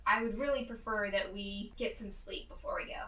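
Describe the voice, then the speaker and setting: A woman's voice: medium pitch, steady tone, brisk, pushed and bright. A woman chatting casually as a player in a tabletop game. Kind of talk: casual chat. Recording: echoey room.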